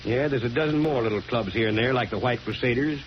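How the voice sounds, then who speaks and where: An actor playing a stubborn, folksy small-town mayor in a 1940s radio drama, plainspoken and blustering. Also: male voice, medium pitch, fast, close to an everyday voice. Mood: heated, exasperated. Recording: muffled, hissy 1940s radio broadcast.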